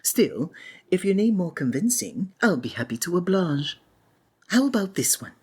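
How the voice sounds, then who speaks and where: A woman playing a flamboyant, sinister male stage magician in an ASMR roleplay, with breathy, honeyed, flowery theatrical speech that often drops into a whisper. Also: fairly low pitch, very animated, moderate pace, darkish tone, exaggerated voice. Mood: smug, coaxing.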